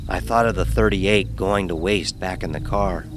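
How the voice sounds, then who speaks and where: A man's voice: low voice, normal speed, slight background noise. A man narrating a first-person horror story in a dramatic, wry manner.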